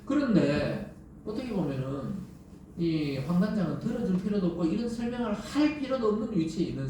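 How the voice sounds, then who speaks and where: Male preacher, Gyeongsang accent, fairly high-pitched, explanatory and conversational; very reverberant church sound, picked up from a distance. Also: mellow. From South Korea. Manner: explains by acting out everyday dialogue and asking rhetorical questions. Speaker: pastor. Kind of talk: conversational expository sermon. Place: church sanctuary during Sunday service. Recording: echoey church, distant mic, faint noise.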